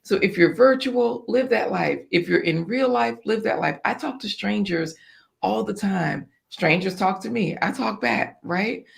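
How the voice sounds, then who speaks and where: A woman guest with a hoarse, scratchy voice, talking in a casual, upbeat and encouraging way on a livestream. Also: mid-range voice, a little slow. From Virginia.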